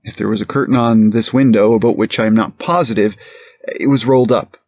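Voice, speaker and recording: A man's voice: on the low side, steady tone, fairly quick, darkish tone, close to an everyday voice. A man playing a precise, deferential police captain giving hesitant, formal testimony in a courtroom reenactment. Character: careful, plain-spoken male police captain testifying as a witness. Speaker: witness.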